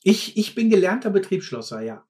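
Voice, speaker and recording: A man's voice: sits high, very animated, fairly quick, a little mellow. A man, a former mine fitter talking bluntly and casually, often repeating words while he searches for them. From Ruhr area, Germany. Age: 50s. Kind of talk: casual conversation.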